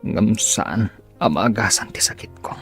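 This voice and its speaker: A man's voice: fairly low pitch, measured pace, a bit bright, faint music behind. A man acting in a radio drama as a bitter, short-tempered sick boss who grumbles in despair.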